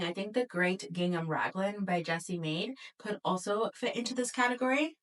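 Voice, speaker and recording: A woman's voice: medium pitch, fairly bright tone, distant mic, poor audio. A woman vlogging casually to camera, her voice a little nasal from a cold, chatty with frequent fillers. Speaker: knitting vlogger.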